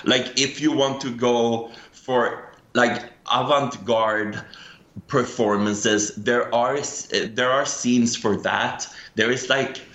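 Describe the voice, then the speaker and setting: A man with a Danish accent talks at an unhurried pace, pausing to find his English words between fillers. His voice sits at an ordinary pitch and rings in an echoey room. He is a guest chatting casually in an interview. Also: steady tone, a bit bright, dull audio. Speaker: guest tour guide.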